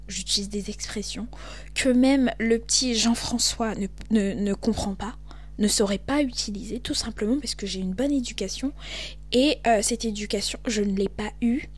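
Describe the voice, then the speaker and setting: A young woman from Cameroon with a Cameroonian French accent hosts a podcast, talking in an animated, emphatic way. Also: medium pitch. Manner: animated, emphatic, switches into English. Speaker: podcast host. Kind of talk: casual monologue.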